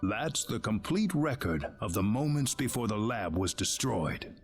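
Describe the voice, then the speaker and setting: An actor playing a serious, knowledgeable researcher who explains things gently and carefully in a video game. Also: male voice, lowish voice, sing-song, faint music behind. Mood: solemn. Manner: measured, expository. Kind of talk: video game dialogue acting.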